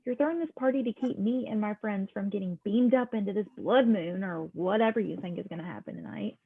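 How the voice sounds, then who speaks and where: A woman's voice: medium pitch, fairly quick, slightly rough. A woman reading the part of an exasperated, easily embarrassed teenage daughter with a dry streak, in an amateur script read. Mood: exasperated, accusing. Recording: muffled, lo-fi home microphone.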